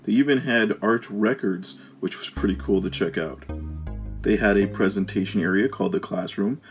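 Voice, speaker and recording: A man narrating a travel vlog as its host, reading from a script. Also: sits low.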